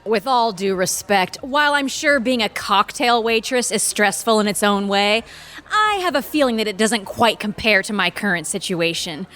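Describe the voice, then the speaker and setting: An actress playing an uptight, ambitious lawyer under stress, formal, hesitant and defensive, in an audio drama. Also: female voice, mid-range voice, animated, moderate pace, a bit bright, soft background music, close to an everyday voice. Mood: polite, uneasy.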